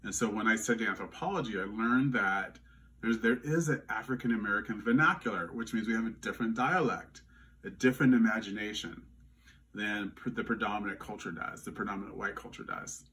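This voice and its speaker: A man from Louisiana with no regional accent, giving earnest, measured answers in an interview, often restarting his phrases. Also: on the low side, fairly bright tone, recorded from a distance.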